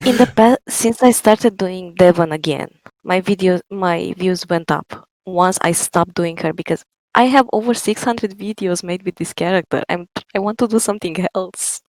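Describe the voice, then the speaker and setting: A woman with a foreign accent, a soft-spoken guest who chats casually and laughs easily. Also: fairly low pitch, moderate pace, dry recording, rough recording. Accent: non-native English. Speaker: guest, TikTok creator and cosplayer. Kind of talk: casual interview chat.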